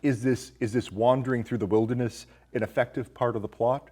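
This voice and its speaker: Guy hosting a movie podcast, medium pitch, chatty, asks lots of discursive questions. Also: fairly even intonation, brisk, dark tone, slight background noise. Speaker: podcast host. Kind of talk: conversational film discussion.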